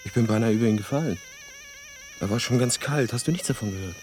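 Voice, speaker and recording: An actor playing an uneasy American writer in a naturalistic radio drama, talking in a hesitant, probing way. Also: male voice, medium pitch, fast, music in the background, close to an everyday voice. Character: uneasy American male writer, haunted by a violent deed. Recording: clear background noise of chirping crickets. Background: crickets, outdoor ambience.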